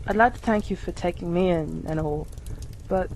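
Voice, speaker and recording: A woman playing a timid, earnest teenage boy in an amateur radio play, speaking politely and haltingly. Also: fairly low pitch, darkish tone, a little hiss or hum, natural voice, low-quality recording. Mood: earnest, hesitant.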